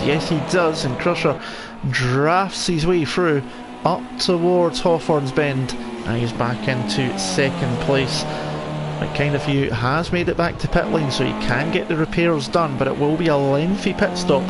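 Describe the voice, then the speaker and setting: A man with a Scottish accent commentating live on an online car race, chatting conversationally with viewers in friendly asides. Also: a little high. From Scotland. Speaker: esports racing commentator. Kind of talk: live sim racing commentary mixed with casual chat to viewers. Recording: loud race car engine noise. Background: racing game car engines.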